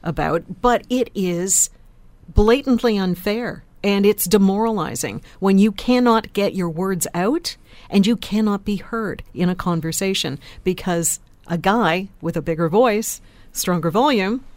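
A woman with a lower voice than average, speaking emphatically and articulately as a panelist on a radio talk show. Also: measured pace, darkish tone, no echo. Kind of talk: opinionated panel discussion.

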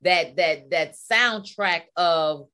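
A woman's voice: fairly low pitch, takes it slowly, bright, forceful tone. A woman co-hosting a casual panel talk show, speaking candidly and bluntly.

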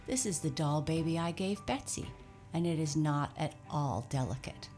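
A woman voicing a brisk, kindly old Santa Claus who speaks heartily and gives cheerful commands. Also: sits low, animated, darkish tone, faint music behind. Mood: brisk, reassuring.

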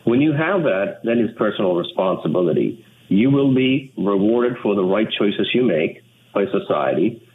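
Sri lankan guy in his 50s on a tinny phone line, lowish voice, earnest, keeps restarting sentences, faint hiss. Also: animated. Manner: earnest, reflective, halting with frequent restarts. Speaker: guest. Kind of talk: personal story in a radio interview.

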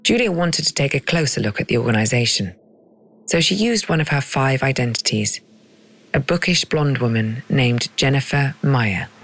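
A woman narrating and hosting a documentary podcast in a crisp, dramatic storytelling manner. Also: extremely low pitch, expressive, normal speed, faint music behind, low-quality recording. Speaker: narrator and host.

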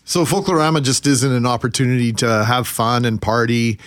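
A man's voice: medium pitch, steady tone, fairly quick, fairly bright tone. A man in his forties from Manitoba, hosting a casual morning radio chat, jokey and chatty with laughs. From Manitoba, Canada. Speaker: radio morning show host.

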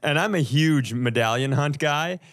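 Animated-storytelling man in casual comedy chat. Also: on the high side, a little slow. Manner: laughs often while telling stories. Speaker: comedy podcast co-host.

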